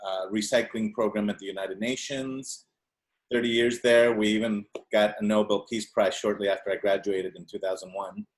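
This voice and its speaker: A man's voice: fairly low pitch, rough recording. A man in his fifties from Venezuela, a native Spanish speaker, asking a long, rambling question on a video call. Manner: rambling, halting with many fillers and restarts. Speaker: audience member asking a question.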